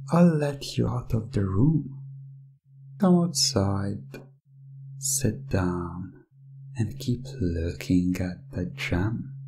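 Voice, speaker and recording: Guy doing a smug controlling gem owner for hypnosis asmr, super slow n low, coaxing, talks down to you. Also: vocal fry, slight background noise, heavily acted. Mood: gloating, hypnotic. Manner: slow, hushed, coaxing and condescending, slips into whispers. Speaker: roleplay audio performer.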